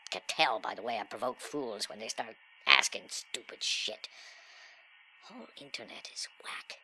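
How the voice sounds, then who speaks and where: A man performing a dramatic monologue as a sullen, cocky, nihilistic young slacker, with breathy, slangy, sneering delivery that swings between muttering and outbursts. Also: mid-range voice, bright, forceful tone, heavily acted, low-quality recording. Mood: bored, sullen. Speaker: reader of a literary monologue. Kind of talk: dramatic first-person monologue in slangy speech.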